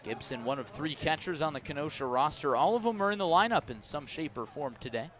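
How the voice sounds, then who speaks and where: An American man doing live play-by-play baseball commentary for radio, measured between pitches and lifting on plays. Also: expressive, fairly bright tone. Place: outdoor ballpark broadcast.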